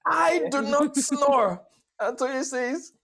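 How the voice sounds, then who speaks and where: A woman's voice: high voice, slow, a loud crowd. A woman chatting casually as a panel guest and voice actor, playfully acting out lines she quotes. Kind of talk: casual, animated anecdote.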